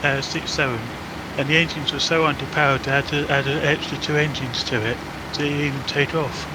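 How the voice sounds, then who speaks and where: A man's voice: fairly high pitch, flat delivery, a little fast, heavy background noise. A man talking about planes with fellow sim pilots in a matter-of-fact way. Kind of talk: casual chat on voice channel.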